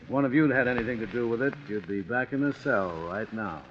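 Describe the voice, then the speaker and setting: An actor playing a brisk, matter-of-fact American chief inspector with blunt, dismissive authority in 1940s radio style. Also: male voice, mid-range voice, close to an everyday voice. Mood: brisk, assured. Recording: dull, noisy old radio broadcast.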